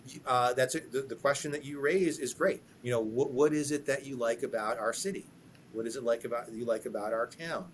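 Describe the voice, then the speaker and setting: A man's voice: mid-range voice, fairly even intonation, fairly quick, a little hiss or hum. A man speaking conversationally as a podcast guest lawyer, emphatic, repeating himself and acting out sample questions and answers. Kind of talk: conversational advice in a podcast interview.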